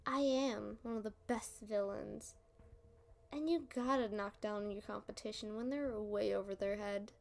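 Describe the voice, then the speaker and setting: A woman's voice: medium pitch, fairly even intonation, vocal fry. A woman playing a playful, smug female supervillain in an ASMR roleplay, teasing and talking down to the listener. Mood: scheming, dismissive. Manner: teasing, condescending, drawn-out delivery.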